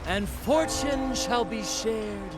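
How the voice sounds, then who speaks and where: An actor playing a flamboyant, cheerful troupe captain in a video game, speaking in a theatrical, charming way. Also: male voice, high-pitched, slow pace. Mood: grand, showy. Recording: music behind the voice.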